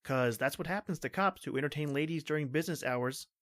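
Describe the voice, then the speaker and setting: A woman's voice: low-pitched, fast talker, dry recording, clean studio sound, natural voice. A woman playing a stoic, protective male police officer in an old-time radio horror drama, brusque and melodramatic. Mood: brisk, reassuring.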